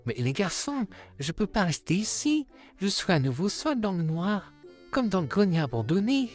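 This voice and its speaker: A woman voicing a gentle, anxious boy puppet in a comic dub, expressive and sincere. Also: mid-range voice, normal speed, soft background music, lightly acted. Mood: anxious, lonely.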